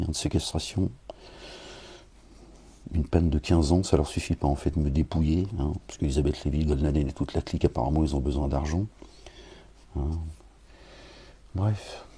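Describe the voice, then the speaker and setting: A man with an extremely deep, gravelly voice that sits dark and husky. He chats to listeners in a grumbling, wry way before moving into slow, measured scripture reading laced with Arabic invocations. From France. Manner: grumbling, wry asides, addresses listeners directly. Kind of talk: casual talk then solemn scripture reading.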